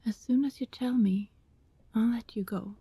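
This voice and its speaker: A woman playing an anxious, apologetic vampire neighbor in an ASMR roleplay, soft and hesitant, often whispering, with politely formal wording. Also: a little high, steady tone, moderate pace, breathy edge, no echo. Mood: hushed, coaxing.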